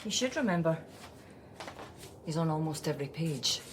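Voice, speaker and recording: Lively, sharp-eyed young woman detective. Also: low-pitched, normal speed, a little hiss or hum. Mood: suspicious, pointed. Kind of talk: radio drama acting.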